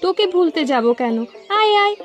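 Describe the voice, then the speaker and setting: A kindly village auntie from a cartoon played by a woman, very high in pitch and affectionate. She sounds generous and reassuring on a slightly dull recording over music. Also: lively intonation, brisk. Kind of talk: cartoon dialogue. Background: background music.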